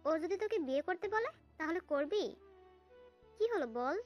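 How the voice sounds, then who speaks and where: A woman's voice: very high-pitched, expressive, normal speed, soft background music. A woman voicing a stubborn, protective mother in an audio story, scolding and questioning insistently. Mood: pressing. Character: stubborn, indignant protective mother of a grown daughter. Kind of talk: romantic audio story acting.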